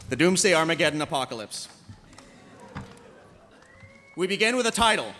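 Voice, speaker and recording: A man's voice: sits high, pushed and bright. A middle-aged man reading stage directions as host of a live table read, announcer-like. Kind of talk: live scripted narration. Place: large indoor convention hall. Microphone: podium microphone. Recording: echoey convention hall, faint noise.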